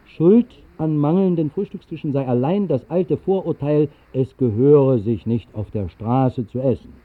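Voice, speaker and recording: A man plays a wry vagrant poet narrating a radio play. His medium-pitched delivery is dry and measured. The old recording sounds thin, like a phone line. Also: close to an everyday voice. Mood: wry narration. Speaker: first-person narrator. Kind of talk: 1960s literary radio drama narration.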